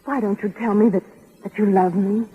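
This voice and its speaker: An actress playing a gushing, lovestruck young starlet with a husky voice in a 1930s radio comedy. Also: female voice, medium pitch, fairly even intonation, moderate pace. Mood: pleading, lovestruck. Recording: muffled old 1930s radio broadcast.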